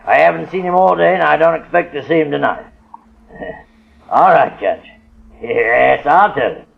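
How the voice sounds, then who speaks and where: An actor playing a gruff, demanding wealthy older husband in a 1940s radio drama, on a muffled old recording. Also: male voice, fairly high pitch, a little slow, heavily acted. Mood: sly. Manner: gruff, impatient, chuckling.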